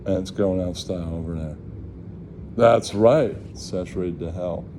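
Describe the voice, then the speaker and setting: A man's voice: low voice, normal speed, dark tone, a little hiss or hum. A man vlogging in a casual, rambling chat, swearing freely and repeating his catchphrases.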